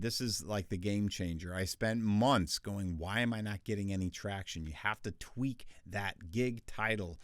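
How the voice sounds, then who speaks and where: A man with a deep bass voice, chatting through freelance voiceover tips in a casual, conversational way. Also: fairly bright tone, dry recording, clean studio sound. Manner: chatty, conversational, with restarts and asides. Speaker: voice actor teaching freelancers. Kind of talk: casual tutorial talk.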